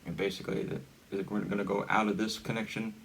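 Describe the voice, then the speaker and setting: A man giving a casual hands-on gadget demonstration in a halting, informal, step-by-step way. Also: fairly low pitch, vocal fry, rough recording. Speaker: hobbyist demonstrator. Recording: steady background noise.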